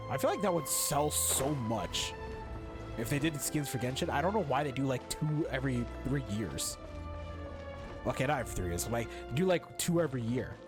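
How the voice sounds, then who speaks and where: A man's voice: medium pitch, very animated, moderate pace. A young man casually commentating on a game, slangy and rambling, often repeating himself. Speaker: game streamer. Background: game music.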